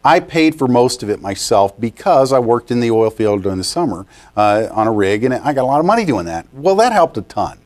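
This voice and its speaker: A man's voice: medium pitch, very animated, brisk, hardly any pauses, a little mellow. A middle-aged man hosting a business interview show in a TV studio, chatty and enthusiastic. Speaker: TV show host. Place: TV studio set.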